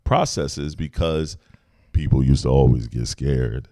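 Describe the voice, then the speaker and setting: A man in his thirties with a deep voice, hosting a podcast in relaxed, agreeable casual chat. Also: measured pace, no room sound, studio quality. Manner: relaxed, agreeable, frequent "mm-hmm" and "right". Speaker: podcast host.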